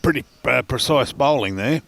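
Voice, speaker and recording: Gravelly Australian man commentating bowls. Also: mid-range voice, expressive, moderate pace, fairly bright tone. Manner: chatty, laughs often, many fillers. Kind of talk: relaxed live sports commentary. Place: outdoors at a bowls green.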